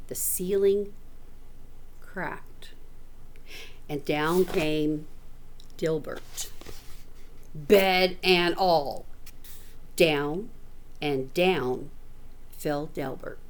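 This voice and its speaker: A woman's voice: low-pitched, extremely slow, pauses often, a little hiss or hum. A middle-aged woman, a teacher, reading a picture book to children with chatty asides. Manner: animated, chatty, explains words to children.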